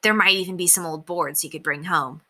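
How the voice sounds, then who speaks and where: A woman reading a children's book aloud as narrator, expressive and emphatic. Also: fairly low pitch, a little fast. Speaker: storybook reader.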